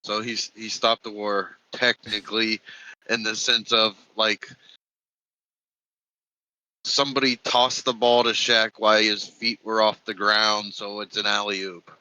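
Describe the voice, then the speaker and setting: A man in his late thirties co-hosting a casual chat, laid-back and unhurried. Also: on the low side, little pitch movement, pushed and bright, rough recording. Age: 30s. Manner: slow, laid-back, says "bro". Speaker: co-host.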